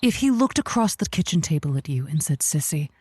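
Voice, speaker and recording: A woman's voice: sits low, sing-song, darkish tone, husky, no room sound, full character voice. Woman as earnest, rambling radio advice host, close and dry. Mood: earnest, persuading. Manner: earnest, halting, theatrical emphasis. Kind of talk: improvised comedy call-in.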